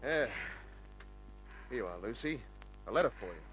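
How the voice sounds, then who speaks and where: An actor playing a wry, tough private detective in old-time radio drama, dry and laconic. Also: male voice, mid-range voice, expressive, moderate pace, fairly bright tone, soft background music, close to an everyday voice, rough recording. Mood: wry, cool. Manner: dry, hard-boiled, laconic.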